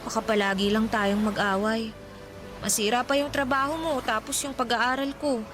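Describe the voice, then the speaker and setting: A woman's voice: a little high, fairly even intonation, normal speed, music in the background, natural voice. A woman playing an earnest, practical young schoolgirl in a romantic radio drama, caught in her first puppy love. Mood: gently resigned.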